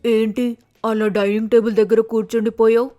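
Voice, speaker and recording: A woman's voice: on the high side, level tone, a little fast, mellow, soft background music, close to an everyday voice. A woman voicing a stern, practical mother bird in a cartoon moral story, nagging and scolding in a matter-of-fact way. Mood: firm.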